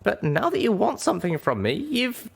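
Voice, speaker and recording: A man's voice: high-pitched, huge pitch swings, moderate pace, vocal fry, slightly rough, voice pushed up, heavily acted. A man voicing a young female pony adventurer in an audiobook, pointedly questioning an evasive friend with suspicion. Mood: suspicious, accusing. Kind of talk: audiobook character dialogue.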